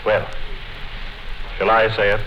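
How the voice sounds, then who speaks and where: An actor playing a calm, commanding party official in a measured, deliberate 1950s radio drama style. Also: male voice, fairly low pitch. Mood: composed, probing. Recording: noisy, dull 1950s radio recording.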